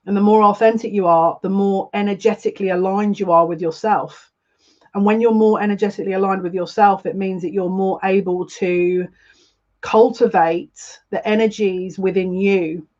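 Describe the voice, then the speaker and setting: Woman, psychic livestream host, earnest confiding talk, repeats herself, mid-pitched, slightly muffled stream audio. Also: darkish tone. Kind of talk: live spiritual reading, casual monologue.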